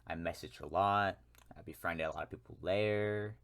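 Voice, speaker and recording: Deep-voiced young American man ranting. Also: fairly even intonation, normal speed, fairly bright tone, clear, resonant tone. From United States. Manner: rambling, self-correcting, wry asides. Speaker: YouTuber. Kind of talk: ranting solo monologue.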